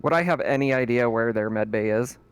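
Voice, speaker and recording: A man with a mid-pitched voice plays in a tabletop roleplaying game. He talks casually, asking and planning with the rest of the table. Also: fairly quick, clean tone.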